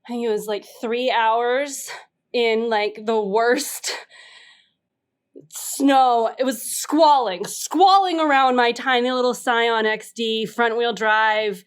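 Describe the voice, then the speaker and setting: Slow-talking woman with a high voice. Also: steady tone, clean tone. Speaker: panel game contestant telling a story. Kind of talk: casual improvised storytelling.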